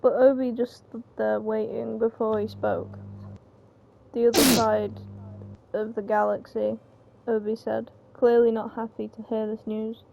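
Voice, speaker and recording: Higher-pitched creaky woman reading a story. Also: level tone, measured pace. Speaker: reader of the narration. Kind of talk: informal read-aloud of a story script. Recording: slightly muffled mic, faint background noise.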